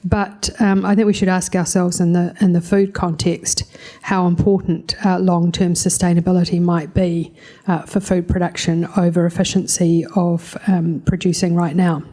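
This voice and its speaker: A woman chairing a conference panel, speaking in a measured way with many ums and uhs. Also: on the low side, level tone, dark tone.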